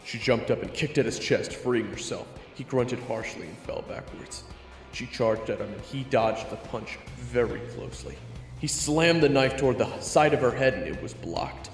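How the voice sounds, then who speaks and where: A man's voice: mid-range voice, expressive, moderate pace. A man giving scripted, dramatic narration for an audio roleplay story. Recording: echoey reverb, music behind.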